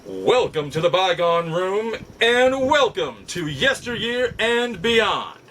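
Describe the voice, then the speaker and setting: A man with a fairly high, very animated voice hosts a casual vlog. He talks in a playful, theatrical way, stretching words out for emphasis. The delivery is bright and forceful, over faint background noise. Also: slow, poor audio. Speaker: host of a nostalgia collectibles show. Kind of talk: casual scripted-feel vlog monologue.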